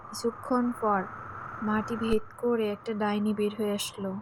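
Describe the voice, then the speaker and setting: A woman's voice: mid-range voice, level tone, moderate pace, background noise. A woman giving scripted storytelling narration for a cartoon tale.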